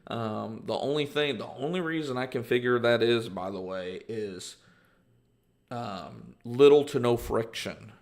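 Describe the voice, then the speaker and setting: Gravelly young man, casual livestream talk. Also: mid-range voice, a little slow. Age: 20s. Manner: rambling, reads out comments, many fillers and restarts. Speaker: host answering viewer questions. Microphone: livestream microphone.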